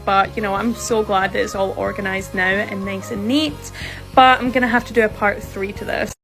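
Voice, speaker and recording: Chatty woman over faint music. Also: medium pitch. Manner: chatty, informal. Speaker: home organizing vlogger. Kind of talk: casual vlog voice-over.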